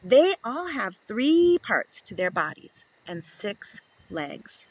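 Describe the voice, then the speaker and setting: A woman reading a picture book aloud to young children, expressive and playful, asking the listener questions as she goes. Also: mid-range voice, slow, rough recording. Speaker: children's story reader.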